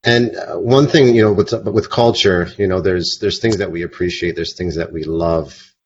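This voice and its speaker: A man's voice: low-pitched, normal speed, husky, highs cut off. A man hosting a casual podcast chat, upbeat and leading the talk with questions. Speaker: podcast host.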